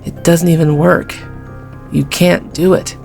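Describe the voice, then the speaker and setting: Woman voicing a blunt gamer friend, gravelly, casual, matter-of-fact. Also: fairly low pitch, flat delivery, unhurried, darkish tone, soft background music. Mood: explaining.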